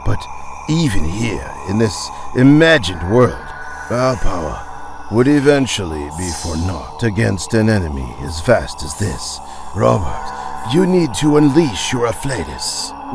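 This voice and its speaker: A man voicing a solemn, ancient ghostly knight leader who speaks portentously with dramatic pauses, in an audio fantasy drama. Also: on the low side, creaky, heavily acted. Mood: rousing, solemn.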